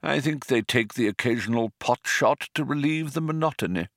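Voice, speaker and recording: A man voicing a kindly, absent-minded old man in an audiobook, speaking in a solemn, polite, unhurried and slightly wistful manner. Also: medium pitch, no room sound, clean studio sound, voice pushed up, natural voice. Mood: solemn, musing. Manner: vague, unhurried, wistful, gently formal. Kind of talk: audiobook character voice.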